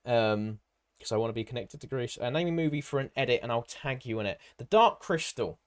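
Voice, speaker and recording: Male live stream host, medium pitch, informal and rambling, jumping from one viewer message to the next. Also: lively intonation, fairly quick. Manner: rambling, hopping between comments, informal and matey. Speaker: livestream host and content creator. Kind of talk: casual live stream chat, answering viewer comments.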